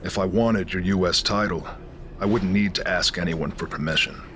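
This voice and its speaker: An actor playing a cold, menacing wrestler in a video game, terse and threatening. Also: male voice, low voice, moderate pace, noisy background, natural voice. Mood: cold threat. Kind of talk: dialogue in a video game cutscene.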